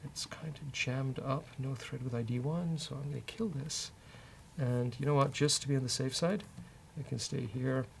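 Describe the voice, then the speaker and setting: Gravelly man thinking aloud while coding. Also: medium pitch, normal speed. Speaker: developer and show host. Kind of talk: casual live coding commentary, thinking aloud. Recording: faint background noise.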